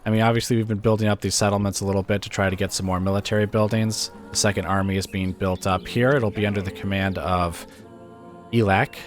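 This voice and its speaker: Gameplay commentator, man, very low voice, raspy from illness, hesitant and musing, faint game music underneath. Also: steady tone, fast talker. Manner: musing, hesitant, thinks aloud with fillers.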